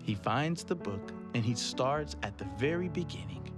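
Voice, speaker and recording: A man reading a children's picture book aloud in an expressive storytelling manner. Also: medium pitch. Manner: slow, lively storytelling voice. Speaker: children's read-aloud host. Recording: loud guitar music behind.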